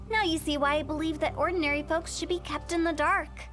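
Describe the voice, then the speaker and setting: A woman's voice: high voice, normal speed, a bit bright. A woman voicing a playful young female funeral parlor director in a video game, breezy and teasing as she explains things. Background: game music.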